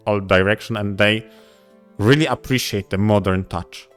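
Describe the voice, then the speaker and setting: Guy with a lowish voice chatting on a podcast, picks his words slowly in bits, faint music under him. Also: a bit bright. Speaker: podcast co-host. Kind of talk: casual discussion of visual novels.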